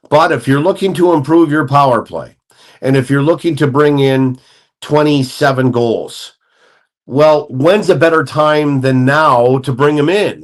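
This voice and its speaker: A man talking as a hockey analyst on a sports talk show, laying out scenarios at length and thinking aloud. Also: mid-range voice.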